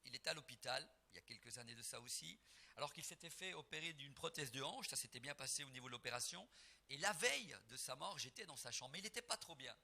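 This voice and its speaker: A middle-aged man from Portugal, a gospel singer preaching at a concert, emphatic and rising to near-singing at times, then soft and reflective. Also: medium pitch, animated, fast talker, gravelly. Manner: soft and reflective when telling family memories. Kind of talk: preaching between songs at a concert.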